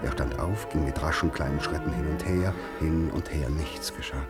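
A man narrating a radio play as scripted literary storytelling, in a measured, grave manner. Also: extremely low pitch, over loud music.

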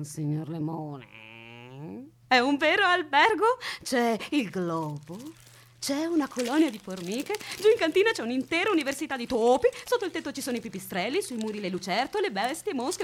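A woman's voice: a little high, a bit bright. An actress playing a childish, eccentric woman who keeps a captive, in theatrical radio drama with a whimsical, sing-song delivery. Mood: eerie, playful.

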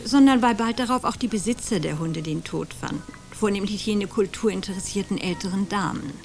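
An actress playing a calm, ironic woman who quietly accuses two men in a radio play, music behind her. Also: mid-range voice, brisk, close to an everyday voice.

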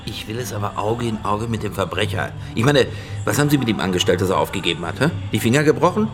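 A man's voice: on the low side, expressive, quick pace, music in the background, lightly acted. An actor playing an imperious, slippery businessman client in a radio crime drama, brusque and insistent, with a joking streak. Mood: insistent, pressing.

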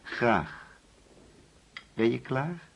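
A middle-aged, grieving father in a radio play, eerily calm and controlling. His voice is very husky and slow, swinging widely in pitch as he coaxes and commands. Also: dull audio, full character voice. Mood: chillingly tender. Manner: deliberate, coaxing, commanding. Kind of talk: radio drama acting.

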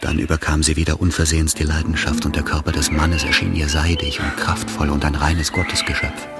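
An actor as the composed, grave narrator of a literary radio drama, telling the story in scripted prose. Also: male voice, extremely low pitch, flat delivery, brisk. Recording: loud music under the voice.

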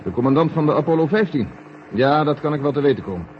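An actor playing an authoritative, deliberate space agency general in a 1970 Dutch radio drama. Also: male voice, fairly high pitch, animated, close to an everyday voice. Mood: decisive. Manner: deliberate, commanding. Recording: dull, hissy 1970 radio recording.

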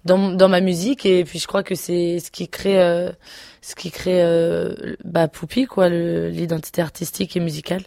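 Young woman with a low voice, hesitant. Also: flat delivery, normal speed, gravelly, mellow, dry recording, clean studio sound. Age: 20s. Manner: hesitant, many fillers and restarts. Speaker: singer guest. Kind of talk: casual interview.

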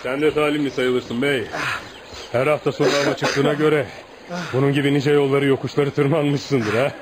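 A man's voice: medium pitch, level tone, moderate pace, lightly acted. An actor playing a respectful village man in his fifties who tells stories earnestly and solemnly in a Turkish radio play. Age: 50s. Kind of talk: Turkish radio theatre acting. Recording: noisy radio drama recording, outdoor ambience.

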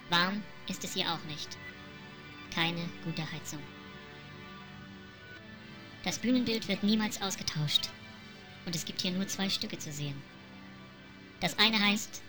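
A woman playing an enigmatic storyteller, narrating a surreal radio play in a deliberate, cryptic, incantatory manner. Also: fairly low pitch. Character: enigmatic female storyteller addressing the listener directly.

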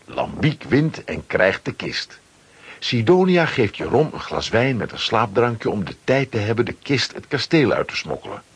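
A man narrates a children's adventure story from a script. His voice is on the low side and very husky, with animated, dramatic swings in intonation. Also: normal speed.

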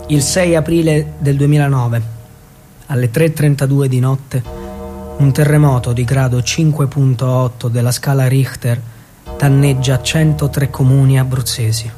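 An Italian man performing scripted theatrical narration for radio, in a declamatory, deliberate storytelling manner. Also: medium pitch, fairly even intonation, warm tone, faint music behind. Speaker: actor and narrator of a theatre monologue.

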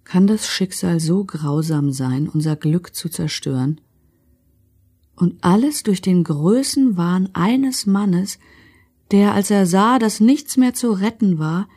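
An embittered woman in anguish for a radio documentary. Her low voice is slow and husky, lamenting lost happiness in a dramatized reading. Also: animated, mellow, heavily acted. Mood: anguished, bitter.

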